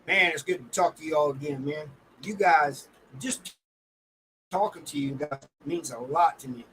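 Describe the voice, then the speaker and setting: Bright, higher-voiced American man chatting casually. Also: moderate pace, a little hiss or hum, low-quality recording. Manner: folksy, colloquial, addresses viewers by name. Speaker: livestream host. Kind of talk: casual livestream chat with viewers.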